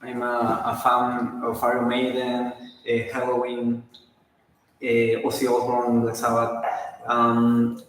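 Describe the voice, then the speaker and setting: A man in a band, answering in English slowly and hesitantly, with many ums. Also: mid-range voice, completely flat delivery, fairly bright tone. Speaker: band member. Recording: echoey room, far from mic, faint noise.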